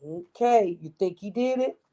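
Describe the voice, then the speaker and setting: A woman's voice: mid-range voice, lively intonation, unhurried, warm tone. A woman reading a children's story aloud expressively, with asides to her young listeners.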